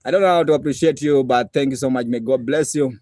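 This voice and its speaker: A man's voice: medium pitch, fast, no echo, studio quality. A man with a Kenyan accent hosting a live music stream, effusively thanking supporters and repeating himself. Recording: loud traditional string and rattle music. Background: plucked traditional instrument and rattle.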